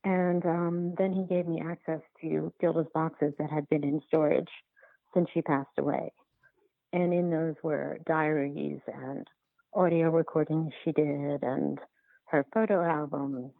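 A woman answering interview questions as a filmmaker, in a halting, conversational way with repeated words. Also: low voice, fairly even intonation, creaky, no echo, phone-quality sound. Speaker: documentary filmmaker, podcast guest.

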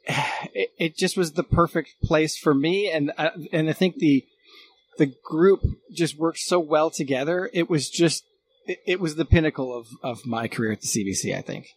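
Male interview guest, slightly high voice, earnest, rephrasing speaker, light noise behind. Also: rough recording. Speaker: guest, former radio producer. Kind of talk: casual reminiscing conversation.

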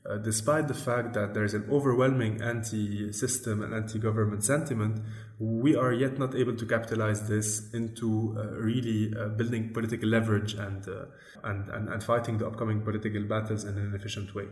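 A man, an Arabic speaker, giving measured, hesitant, analytical answers as a journalist being interviewed. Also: lowish voice, flat delivery, darkish tone. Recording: echoey room.

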